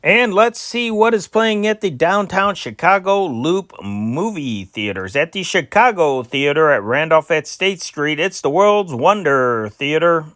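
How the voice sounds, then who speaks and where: A man hosting an amateur reading of vintage newspaper news and listings, with a halting delivery that stumbles over names. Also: on the high side, lively intonation, fairly bright tone, no echo, clean studio sound.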